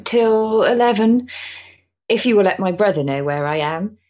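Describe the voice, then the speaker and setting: A woman's voice: mid-range voice, animated, normal speed, a little mellow, dull audio, lightly acted. An actress playing a sensible, kindly married Victorian woman in a period stage drama, polite and matter-of-fact. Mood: concerned.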